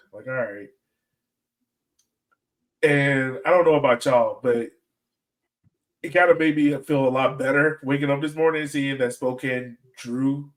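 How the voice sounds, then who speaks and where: Casual guy hosting a soccer podcast. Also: medium pitch. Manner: rambling, colloquial, conversational. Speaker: podcast host. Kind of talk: casual soccer fan chat.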